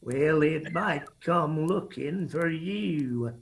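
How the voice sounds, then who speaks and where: A creaky, menacing Appalachian uncle. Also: male voice, on the high side, lively intonation, drawn-out words, exaggerated voice. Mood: goading. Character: hard, menacing mountain uncle ready to kill. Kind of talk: radio drama acting.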